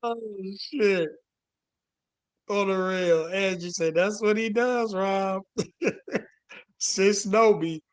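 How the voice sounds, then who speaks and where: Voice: medium pitch, very slow, long pauses, clear, resonant tone. A panel host speaking casually and emphatically, with plenty of profanity and street slang. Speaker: host moderating a panel call.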